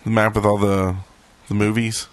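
A man's voice: fairly low pitch, level tone, normal speed. A man hosting a casual, playful panel podcast. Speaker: podcast host.

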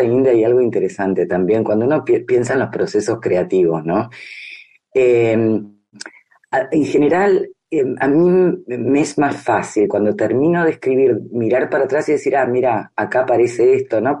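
A woman's voice: extremely low pitch, animated, moderate pace, mellow, breathy edge. A woman in her fifties with a Rioplatense Spanish accent, talking reflectively and expressively as a novelist being interviewed, repeating phrases for emphasis. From grew up in Brazil. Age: 50s. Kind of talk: literary interview, reflective conversation.

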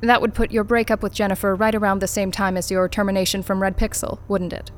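An actress playing a cool, unflappable corporate interrogator in an audio drama, formal, clipped and detached. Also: female voice, medium pitch, little pitch movement, quick pace, a little hiss or hum, close to an everyday voice. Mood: probing, detached. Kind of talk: naturalistic audio drama acting.

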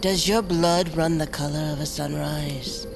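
Slow gravelly shaman voice from an actor, solemn rite, music behind, audio drama. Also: mid-range voice, steady tone. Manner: slow, solemn chanting delivery. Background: music under the voice.

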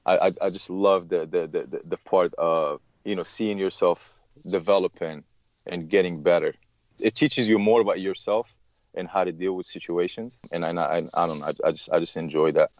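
Guy, tennis coach, very low voice, keeps restarting words, dry flat sound. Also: no echo, lo-fi sound. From Morocco. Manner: halting, often restarts and repeats words. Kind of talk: casual interview talk.

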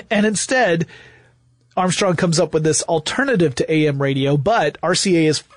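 High-pitched man telling history, dry sound. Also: moderate pace, no echo. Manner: storytelling, emphatic repetition. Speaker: podcast co-host. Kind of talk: conversational history explainer.

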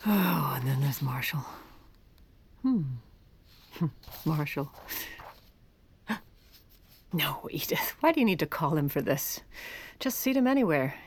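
A woman's voice: deep voice, animated, takes it slowly, long pauses, slight background noise, full character voice. An actress playing a proud, scheming first lady in a comic audio drama, wry and given to muttered asides. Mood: wry, insistent. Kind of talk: audio comedy drama, dialogue and first-person narration.

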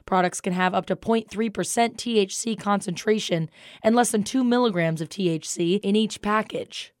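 A woman's voice: mid-range voice, no room sound. Female American newscast anchor, mid-range voice, scripted delivery, very dry room. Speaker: news anchor.

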